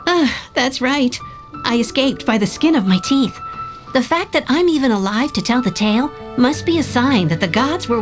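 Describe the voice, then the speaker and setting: A man voicing a calm, reflective young wandering samurai who speaks softly and with care in video game dialogue. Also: very high-pitched. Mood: wistful. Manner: soft-spoken, measured, reflective. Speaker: wanderer from abroad. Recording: game audio with music underneath.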